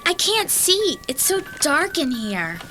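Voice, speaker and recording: A plucky young girl in a spooky children's audio play. Her voice is high and very animated, eager and curious, with music behind it. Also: slow pace, full character voice. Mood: uneasy. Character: bold, curious young girl exploring a haunted mansion. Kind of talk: children's audio horror drama acting.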